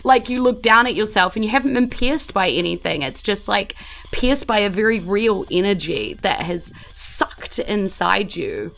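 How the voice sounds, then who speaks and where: A New woman works as game master, improvising her narration. Her voice has some creak in it, and soft music plays behind her. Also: mid-range voice, animated, moderate pace. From New Zealand.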